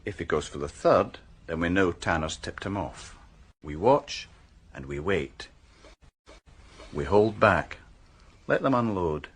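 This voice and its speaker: A shrewd senior detective in a radio crime drama. His voice is low and husky. He lays things out in a measured, assured way, sure of himself. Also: lively intonation, heavily acted. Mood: sly, confident. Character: shrewd, confident male senior detective planning a trap. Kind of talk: naturalistic radio drama dialogue.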